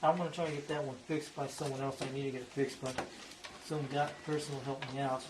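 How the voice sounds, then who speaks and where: A man's voice: mid-range voice, flat delivery, quick pace. A man presenting a casual, unscripted hobby show-and-tell in a rambling, repetitive way. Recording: noticeable background noise.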